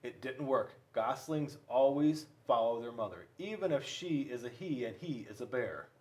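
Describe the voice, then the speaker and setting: A man reading a children's storybook aloud, with dramatic pauses and emphasis. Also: medium pitch, expressive, darkish tone, distant mic. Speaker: school resource police officer reading to children.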